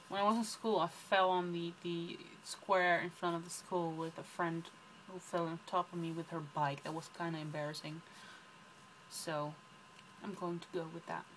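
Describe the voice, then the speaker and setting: A woman's voice: lowish voice, a bit bright, a little hiss or hum, rough recording. A young woman from the Netherlands with a Dutch accent, chatting casually through a book vlog tag with lots of ums and rambling asides. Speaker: book vlogger. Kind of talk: casual chat answering tag questions.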